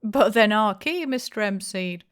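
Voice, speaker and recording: A woman voicing a blustering, jovial, opinionated male innkeeper in an audiobook, with loud, emphatic, rustic speech. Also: mid-range voice, animated, measured pace, clean tone, voice pushed up, natural voice. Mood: boastful, amused.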